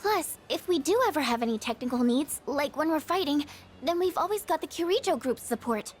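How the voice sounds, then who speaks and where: A woman acting a shy, gentle, self-doubting teenage girl in an English video game dub, speaking hesitantly and apologetically. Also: high-pitched, animated, a little fast. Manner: hesitant, apologetic, soft-spoken.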